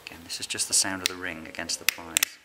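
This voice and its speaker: A middle-aged man, a licensed bird ringer, calmly explaining his fieldwork while handling birds in a farm barn. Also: low voice, fast talker. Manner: calm, quiet, hands-on explaining with fillers. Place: open farm barn on straw, outdoors air. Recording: noisy outdoor camera recording.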